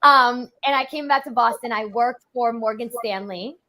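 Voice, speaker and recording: Young woman from Europe, financial planner; casual talker, fast and fillers-heavy, a bit high-pitched. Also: pushed and bright. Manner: quick, chatty, many "like" fillers. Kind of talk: casual interview chat.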